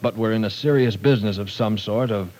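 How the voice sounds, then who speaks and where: A no-nonsense professor, voiced by an actor, speaking in a lowish, steady tone with firm, lecturing authority. Old-time radio play delivery. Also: male voice, a little fast, close to an everyday voice. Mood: stern. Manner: firm, lecturing, cuts in to keep order. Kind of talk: 1940s radio acting.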